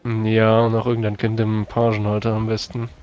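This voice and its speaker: A man's voice: lowish voice, completely flat delivery, fairly quick, a little mellow, dull audio. A man who plays a tabletop roleplaying game, talking casually through his plans.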